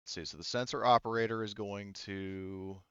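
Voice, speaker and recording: A smooth, fairly low-voiced man acts as game master. He talks casually through rules and dice rolls, often pausing to think aloud. The audio is dry and slightly muffled. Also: steady tone, fairly bright tone, no echo. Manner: halting, thinking aloud while rolling dice. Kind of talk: casual tabletop game narration with rules talk.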